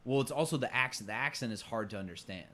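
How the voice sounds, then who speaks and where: A man talks casually as a podcast co-host, in a voice of average pitch. His delivery is halting, and he often repeats the start of a phrase before carrying on. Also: fairly bright tone.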